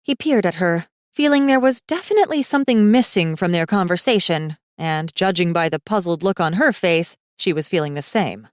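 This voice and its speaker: Low-voiced woman narrating fantasy fiction. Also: lively intonation, moderate pace, few pauses, no echo, studio quality. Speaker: audiobook narrator.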